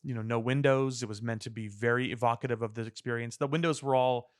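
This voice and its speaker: A man's voice: mid-range voice, fairly even intonation, fast, smooth, dry recording, studio quality. A man co-hosting a podcast, chatting casually about pop culture with wry joking. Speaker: podcast co-host.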